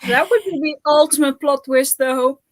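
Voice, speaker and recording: A woman's voice: high-pitched, normal speed. A woman co-hosting a casual book chat on a video call, teasing and playful. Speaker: book podcast co-host.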